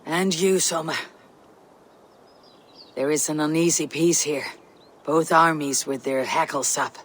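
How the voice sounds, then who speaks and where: Game casting: seasoned Norse warrior, wary and blunt, raspy higher register, long reverb. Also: male voice, fairly even intonation, measured pace, fairly bright tone. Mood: wary, measured. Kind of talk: video game cutscene acting.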